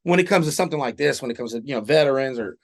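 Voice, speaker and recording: Brief: middle-aged man from Southern California, relaxed guest telling stories, swears easily, mid pitch. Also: rapid-fire. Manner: candid storytelling, casual swearing. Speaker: guest, singer-songwriter and Marine veteran. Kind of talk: casual interview chat.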